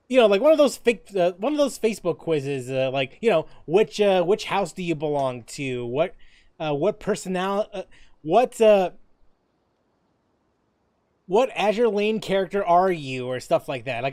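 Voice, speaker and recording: A man's voice: high voice, darkish tone, no room sound. A man commentating casually on a game as a streamer, jokey and often repeating his phrases.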